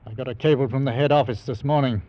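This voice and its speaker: Gruff, curt ship captain actor, muffled radio. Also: male voice, medium pitch, steady tone, fast talker, close to an everyday voice. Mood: grudging. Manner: curt, clipped, stern. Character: gruff, cautious, grudging cargo ship captain. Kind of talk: old-time radio drama acting. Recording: muffled vintage radio recording.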